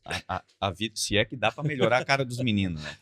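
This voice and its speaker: A man hosting a podcast, opening like a showman and then settling into relaxed chat. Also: lowish voice, fast talker. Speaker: podcast host.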